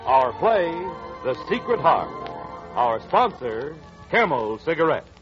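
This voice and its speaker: A man's voice: a little high, very animated, takes it slowly. An American man announcing in formal, emphatic 1940s radio style. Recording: dull old radio recording, music behind.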